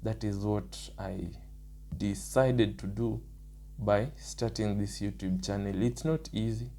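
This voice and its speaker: A young man with a Kenyan accent speaks in a low, dark, gravelly voice that still sounds rough from the early morning. He talks in a measured, casual vlog style and counts off his points one after another. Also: fairly even intonation, slight background noise. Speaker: nursing student.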